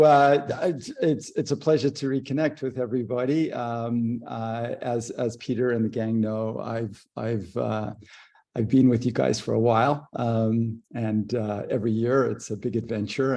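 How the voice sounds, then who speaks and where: A man born in Zambia introducing himself on a video call, halting often with fillers and restarts. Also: medium pitch, little pitch movement, creaky, mellow. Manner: hesitant, many fillers and restarts. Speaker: fintech leader and investor.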